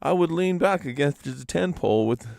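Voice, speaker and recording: Guy doing a young storybook rabbit, eager n pleading, voice pushed up higher, dry sound. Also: expressive, moderate pace, creaky, a little mellow, no echo. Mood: wistful, eager. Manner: sighing, pleading. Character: young eager rabbit, boastful then woeful.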